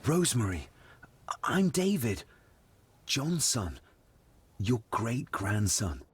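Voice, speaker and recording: An earnest young gentleman in a game drama. His heavily breathy, husky voice moves expressively as he presses people with intense indignation. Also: medium pitch, sing-song, slow pace, heavily acted. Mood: shocked, hushed. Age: young adult. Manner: intense, confrontational, questioning. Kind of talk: dramatic video game acting.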